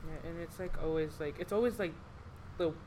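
A teenage girl, a student guest, talking in a rambling, halting way full of fillers. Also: sits low, warm tone. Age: teens. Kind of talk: casual chat. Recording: noisy room.

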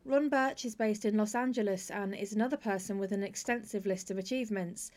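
A woman hosting an interview podcast, speaking in a measured, articulate, explanatory way. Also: medium pitch, a little mellow. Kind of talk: interview chat and scripted introduction.

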